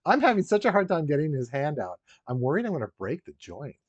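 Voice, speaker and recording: A man's voice: on the high side, huge pitch swings, fast talker. A man hosting a casual live stream as a toy collector, chatting with viewers in a rambling, joking way full of dry, teasing asides. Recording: roomy echo, dull lo-fi sound.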